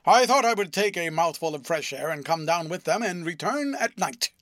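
A man voicing a loud, pompous, bullying gentleman with a booming, ferocious delivery, in an audiobook. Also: expressive, normal speed, dry recording, studio quality. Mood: blustering. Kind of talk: audiobook character voice.

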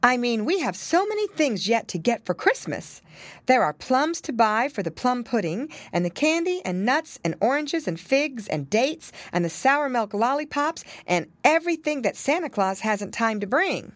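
A woman's voice: a little high, big pitch swings, normal speed, no echo, voice pushed up. A woman voicing a busy, kindly mother pig who explains things patiently in a motherly way, for a storybook. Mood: explaining.

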